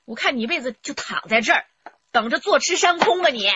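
Nagging distraught wife in radio drama, shrill sharp super high voice, slightly muffled track. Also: female voice, expressive, a little fast. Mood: nagging, exasperated. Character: fed-up, scolding wife, fiery and distraught. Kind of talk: radio crime drama acting.

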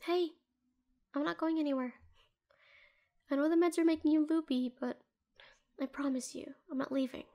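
A woman's voice: sits high, fairly even intonation, moderate pace, pauses often. A woman playing a protective, tender doctor who looks after an injured ex-lover in an intimate audio roleplay, earnest in manner. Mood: tender, soothing. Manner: earnest, soothing, hushed at times, fierce when protective. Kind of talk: scripted intimate audio roleplay addressed to the listener.

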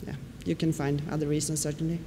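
A woman whose first language is Swedish, giving a conference lecture and often pausing on fillers. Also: low-pitched, flat delivery, warm tone. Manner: explanatory, with frequent fillers and questions to the audience. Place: lecture hall. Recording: reverberant hall with faint noise.

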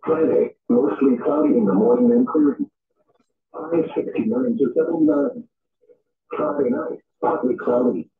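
A man's voice: very high voice, wildly swooping pitch, moderate pace, vocal fry. A synthetic man's voice reading an automated weather forecast as a radio announcer in clipped, formulaic phrases, played over a radio. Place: radio speaker playing in a home room. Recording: dull radio playback in echoey room.

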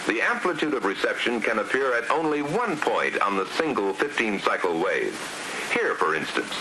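A man's voice: lowish voice, normal speed. A man with an American accent narrating a scripted 1950s instructional film in a formal, precise, didactic manner. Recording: hissy 1950s film soundtrack. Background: steady hiss.

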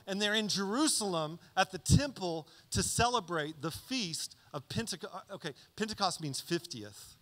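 A man in his thirties preaching a conversational sermon into a handheld mic on a church stage, often checking in with "okay?". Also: high-pitched. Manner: conversational, animated teaching with frequent "okay?" check-ins. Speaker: pastor. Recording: reverberant church hall.

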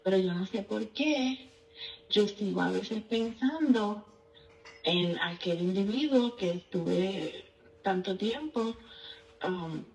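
A woman's voice: medium pitch, lively intonation, slow pace. A woman with a Puerto Rican accent calling a show, speaking hesitantly and earnestly as she confides in the host. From Puerto Rico. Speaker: caller. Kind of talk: personal confession on a call-in show. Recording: distant phone caller, faint noise.

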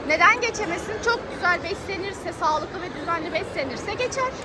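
A woman's voice: very high-pitched, lively intonation, fairly quick. A woman in her thirties, a mother chatting and coaxing her children in a casual family vlog, recorded in a busy shopping mall. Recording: loud crowd noise. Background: crowd chatter.

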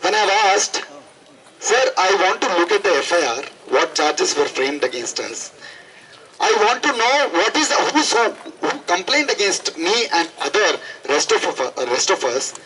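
A man's voice: high-pitched, big pitch swings, moderate pace, pushed and bright, slight background noise, rough recording. A young man with an Indian accent giving an impassioned protest speech into a handheld microphone, emphatic and repeating phrases for effect. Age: young adult. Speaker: student activist. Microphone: handheld microphone through a PA.

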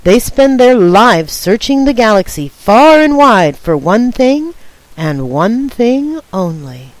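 A woman's voice: on the high side, big pitch swings, takes it slowly, dry recording, voice pushed up, poor audio. A woman voicing an imaginative big sister who coaxes with dramatic tall tales, in a storybook reading. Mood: coaxing, dramatic.

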